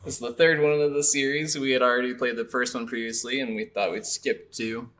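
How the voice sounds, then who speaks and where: A man with a mid-pitched, bright and crisp-edged voice. He talks casually and agreeably as a co-host on a gaming podcast. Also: fairly even intonation, brisk. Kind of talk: casual chat about video games.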